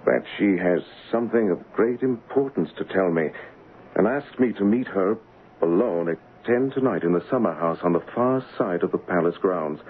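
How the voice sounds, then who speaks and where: A man acting a bold, courtly gentleman posing as a king in a 1970s radio drama, composed and measured. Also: low-pitched, expressive, a little hiss or hum. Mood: resolute. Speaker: actor and first-person narrator. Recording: dull old radio broadcast with music.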